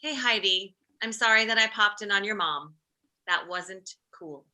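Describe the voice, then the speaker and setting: A woman acting an excitable, jealous, meddling middle-aged wife and mother in a comedy script read, blunt and needy. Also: medium pitch, measured pace. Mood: breezy, meddling.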